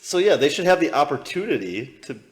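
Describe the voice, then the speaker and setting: A man's voice: a little high, very animated, fairly quick, a little hiss or hum. Young midwestern american man, casual streamer commentary, loose rambling, swears freely. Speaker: streamer reviewing clips.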